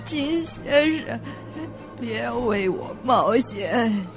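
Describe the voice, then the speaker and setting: Polite, hesitant woman, radio drama, slow delivery over clear music. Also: fairly high pitch, animated. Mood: anxious, polite. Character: polite, composed woman caught up in crime. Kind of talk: Mandarin radio drama acting.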